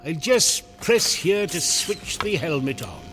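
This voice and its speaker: An actor playing an excitable, good-natured janitor with a folksy manner, in vintage comedy style. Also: male voice, fairly high pitch, slow pace, over music. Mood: easygoing. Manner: folksy, animated, stammering when flustered.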